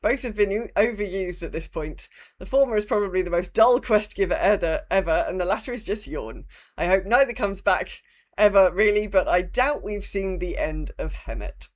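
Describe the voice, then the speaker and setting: A woman co-host talks in a casual, conversational podcast style at a middling pitch. Her manner is wry and rambling, loose enough to slip into swearing. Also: expressive, moderate pace. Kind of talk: casual chat about a video game.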